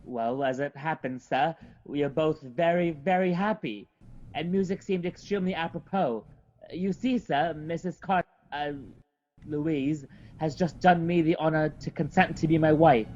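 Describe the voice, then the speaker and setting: An actor playing a polite, smitten gentleman suitor in a radio mystery, formal and a little hesitant. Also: fairly high pitch, normal speed, highs cut off, close to an everyday voice. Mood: earnest, bashful. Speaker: actor in audio drama. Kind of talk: old-time radio mystery acting.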